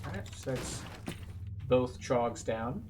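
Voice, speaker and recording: A man's voice: mid-range voice, expressive, slow pace, background noise. Man guiding gameplay, a bit off mic. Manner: matter-of-fact, explanatory. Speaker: video game tour guide. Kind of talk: casual playthrough commentary. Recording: distant mic, loud game music.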